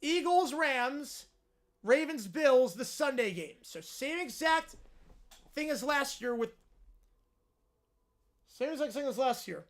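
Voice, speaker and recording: Hoarse, high-voiced male sports streamer. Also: animated, slow, long pauses. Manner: chatty, reads and answers viewer comments. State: hoarse, voice worn out. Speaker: livestream host. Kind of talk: casual live sports reaction chat.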